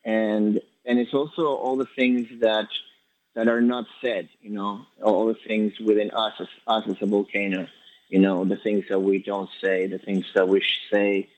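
A man from Ecuador, a poet being interviewed, answering in a quick, halting way with many restarts and fillers. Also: on the low side, little pitch movement, a little hiss or hum, rough recording. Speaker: poet guest.

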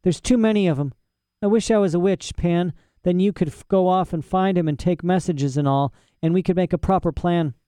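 A man voicing a scruffy, quick-witted young girl in a storybook, with informal, earnest and coaxing speech. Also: high voice, mellow, clear, resonant tone, dry recording, clean studio sound, pitched above the performer's own voice, lightly acted. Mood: anxious. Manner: informal, coaxing, childlike phrasing.

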